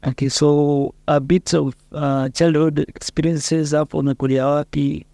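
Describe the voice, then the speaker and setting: Creaky, mellow Kenyan male interviewer. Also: on the high side, little pitch movement, normal speed, dry recording. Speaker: podcast interviewer. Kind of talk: casual interview questions.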